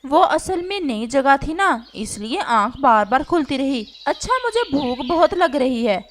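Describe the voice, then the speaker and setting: A woman's voice: high voice, very animated, a little slow. A woman voicing a demanding older mother-in-law bird in a Hindi cartoon, imperious and fussy. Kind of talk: Hindi cartoon character acting.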